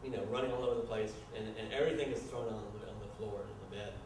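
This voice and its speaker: A man narrating a staged screenplay reading in a loose, improvised, halting way, often stumbling over his words. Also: lowish voice, fairly even intonation. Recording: echoey room, distant mic, noisy.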